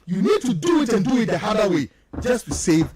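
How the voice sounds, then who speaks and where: A man's voice: sits high, big pitch swings, fairly quick, crowd noise. A Ghanaian man who speaks Twi natively, giving impassioned political radio commentary in an emphatic way, driving points home with rhetorical questions. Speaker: political commentator, studio guest.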